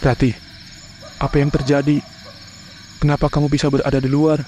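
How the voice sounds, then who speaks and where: A worried young husband in a horror cartoon, steady and reassuring, his voice dark and flat, as a man voices him. Faint crickets chirp in the night behind him. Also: on the high side, brisk, a little hiss or hum, natural voice.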